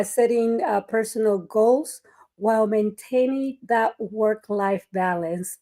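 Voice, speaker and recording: Mellow-voiced woman from Mexico, hosting. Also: mid-range voice. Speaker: co-host. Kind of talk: casual live chat.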